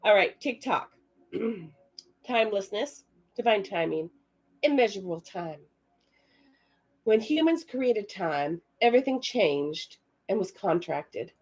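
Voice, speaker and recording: A woman with a raspy voice giving a live-stream psychic card reading, chatting directly with viewers in the comments. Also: mid-range voice, animated, takes it slowly, pauses often, darkish tone, low-quality recording. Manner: chatty, talks to viewers in the chat. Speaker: psychic reader.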